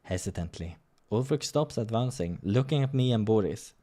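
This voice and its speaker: A man's voice: on the low side, lively intonation, normal speed, mellow. Man reading a visual novel aloud. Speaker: host of a visual novel playthrough.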